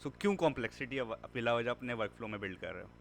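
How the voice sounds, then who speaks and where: A man's voice: medium pitch, animated, very fast, vocal fry, slight background noise. A man teaching a machine learning class in a conversational way, mixing in English words and repeating points for emphasis. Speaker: instructor. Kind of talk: classroom lecture.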